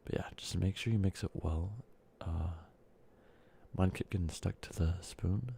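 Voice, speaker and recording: A congested man with a very low, gravelly voice talks softly and slips into whispers, rambling through an ASMR video with lots of ums and uhs. His tone is dark and mellow. He sounds sick and short of breath. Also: a little slow, slight background noise. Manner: soft speaking drifting into whispers, rambling with fillers. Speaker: ASMR creator. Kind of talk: soft-spoken ASMR candy-making ramble.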